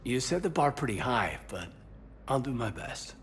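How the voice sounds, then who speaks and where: Humble friendly soldier officer, game dialogue, lively intonation, bit of rumble behind. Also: male voice, normal speed. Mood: humbled, earnest. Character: earnest, modest human military officer, male. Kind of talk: video game voice acting. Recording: low rumbling background noise.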